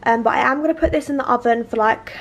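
A woman in her twenties chatting excitedly to camera in a casual home cooking vlog, full of fillers. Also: a little high, fast talker, dull audio. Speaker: vlogger. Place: home kitchen and living room, indoors.

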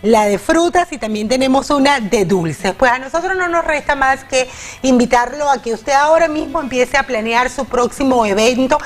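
A woman's voice: high voice, normal speed. A woman, hosting a cooking segment on a TV studio kitchen set and speaking straight to viewers. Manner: upbeat, addresses viewers directly. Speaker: TV show host. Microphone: lapel mic.

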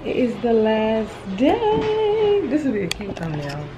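A woman in her twenties from Virginia vlogging to a handheld camera in a cabin, chatty and relaxed, her voice going hoarse. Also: on the high side, slow, dull audio, background noise. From Virginia, USA. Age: 20s. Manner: chatty and relaxed, addresses viewers as y'all. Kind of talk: casual vlog to camera. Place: wooden cabin, indoors. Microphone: handheld camera at arm's length.

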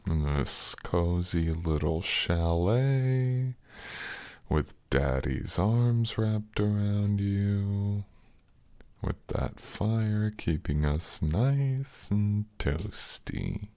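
A man's voice: on the low side, lively intonation, very slow, darkish tone, clean tone, no room sound. A young man performing an intimate ASMR roleplay as an affectionate, teasing boyfriend, murmuring tenderly and breathily close to the mic. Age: young adult. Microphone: close, dry microphone.

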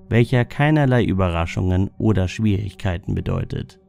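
A man reading scripted explanatory narration in a measured, solemn manner. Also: lowish voice, gravelly, mellow. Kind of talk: scripted narration of a dream-symbol lexicon. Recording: music bed under the voice. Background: background music.

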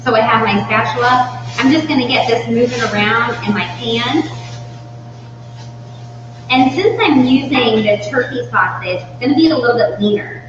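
A woman in her thirties giving an informal, step-by-step cooking demonstration as the host of a live class. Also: a little high. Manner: informal, instructional, explains step by step. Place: indoor demonstration kitchen. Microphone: far from the speaker. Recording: distant mic, very echoey room, muffled, hum.

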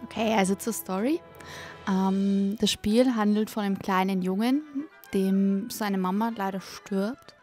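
Casting: female co-reviewer, soft mellow tone, casual and hesitant, music playing underneath. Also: medium pitch, fairly even intonation, a little slow. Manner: hesitant, searching for words. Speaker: co-reviewer, guest on a game review. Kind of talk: casual unscripted commentary. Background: music under a voice-over.

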